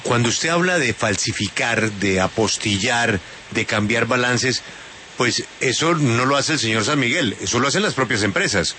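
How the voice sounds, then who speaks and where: A male radio interviewer with a bright, forward voice. He keeps his questions short and direct, with brief prompts in between. Faint noise runs underneath. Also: mid-range voice, expressive, normal speed.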